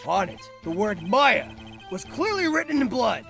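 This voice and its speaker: A man playing a gravelly bumbling detective. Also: sits high, sing-song, moderate pace, a bit bright, soft background music, full character voice. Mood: blustering. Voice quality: gravelly, stammering. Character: bumbling gruff male police detective.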